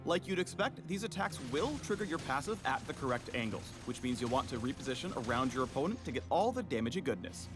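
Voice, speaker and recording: A man's voice: mid-range voice, expressive, quick pace, few pauses. A man narrating a scripted gameplay spotlight in a polished, emphatic and playful way, with music under his voice. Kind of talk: scripted game promo narration.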